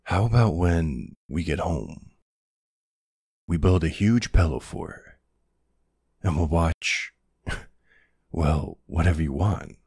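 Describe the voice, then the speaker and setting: A man playing a tender, protective older ex-soldier boyfriend with a husky, gravelly voice in an intimate ASMR roleplay. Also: rumbling low, lively intonation, no room sound, full character voice. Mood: fond, teasing. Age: middle-aged or older character. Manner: affectionate teasing, hesitant pauses, soft reassurance.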